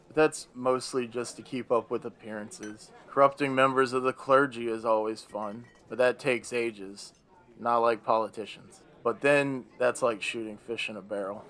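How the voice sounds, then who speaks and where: A man performs the Devil as a weary old fellow facing retirement in a radio play. He sits at a middling pitch and grumbles theatrically, with bitter, sardonic wit. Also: steady tone, normal speed, bright, forceful tone, natural voice. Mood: wry, grumbling.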